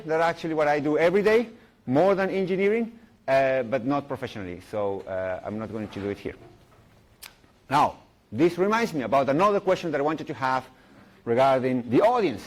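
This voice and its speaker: A middle-aged man from Chile, giving a playful live technical talk at a conference, full of jokes, fillers and restarts. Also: medium pitch. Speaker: conference speaker. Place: conference room.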